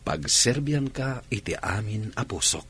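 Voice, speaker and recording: A man's voice: lowish voice, animated, a little slow, fairly bright tone, husky, dry recording, heavily acted. A man playing an earnest, deferential young village suitor in an Ilocano-accented radio drama. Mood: ardent.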